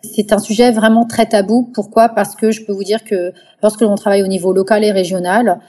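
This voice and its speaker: Husky, mellow Frenchwoman, earnest. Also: medium pitch, level tone, fairly quick. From France, Paris suburbs. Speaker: NGO founder, activist, interview guest. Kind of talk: spoken interview testimony.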